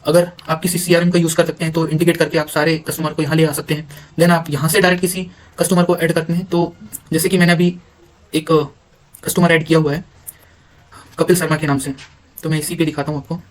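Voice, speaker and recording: An Indian man giving an informal software walkthrough, halting at times and mixing English terms into his speech. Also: a little high, level tone, rough recording. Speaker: software demonstrator. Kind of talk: informal screen-recorded tutorial walkthrough.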